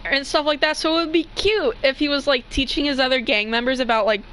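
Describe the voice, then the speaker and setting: A woman chatting casually as a co-reader, blunt, slangy and quick to swear. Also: high voice, steady tone, a bit bright, slight background noise. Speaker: co-reader and commentator. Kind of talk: casual chat.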